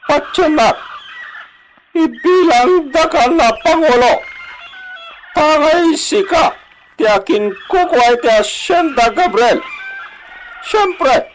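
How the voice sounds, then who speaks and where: Radio drama bandit: fawning, swaggering, chuckly, unhurried high delivery over light music. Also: male voice, full character voice, low-quality recording. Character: Burton, swaggering male bandit, fawning and sly. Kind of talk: radio drama acting in Philippine regional drama.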